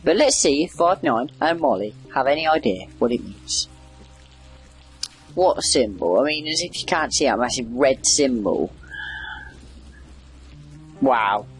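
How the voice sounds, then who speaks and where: A man's voice: mid-range voice, expressive, measured pace, pauses often, breathy edge, soft background music, low-quality recording. A man giving casual, rambling video game commentary, wry and chatty as he reacts aloud. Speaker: gamer doing a video game playthrough.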